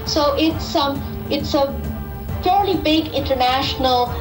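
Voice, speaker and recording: A middle-aged woman from Pakistan, a physicist answering interview questions thoughtfully and pausing to repeat words as she forms her ideas. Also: high-pitched, reverberant, over music, rough recording.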